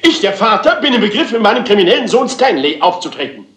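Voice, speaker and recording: Flamboyant high-pitched theatre director. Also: male voice, very animated, fast talker, fairly bright tone. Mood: dramatic, excited. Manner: theatrical, dramatic, self-important. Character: flamboyant, impatient theatre director rehearsing his own play. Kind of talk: German crime radio play dialogue. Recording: slightly roomy radio drama sound.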